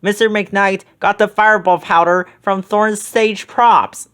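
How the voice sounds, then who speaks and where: A man reading the lines of a clever teenage girl detective, explaining the mystery in a brisk, matter-of-fact way. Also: high voice, steady tone, a bit bright, smooth, voice pushed up.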